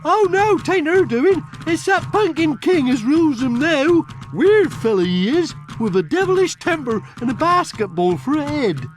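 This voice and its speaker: A man playing a rustic, excitable farmer in a game cutscene, folksy, with an English West Country accent. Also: very high voice, moderate pace, hardly any pauses, over music. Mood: pleading, aggrieved. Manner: folksy, bumpkin-like. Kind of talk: animated game cutscene acting.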